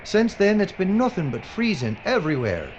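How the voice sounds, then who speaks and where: Man acting as lively, grumbling documentary presenter, muffled. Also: sits high, normal speed. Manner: grumbling, sarcastic. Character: whiny, wisecracking male documentary presenter, sarcastic and lazy. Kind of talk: audio drama acting. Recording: muffled, clearly noisy outdoor ambience.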